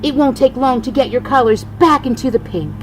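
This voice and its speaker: An older woman, a grandmother, reading a children's storybook aloud with expressive, deliberate phrasing. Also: high voice, slight background noise.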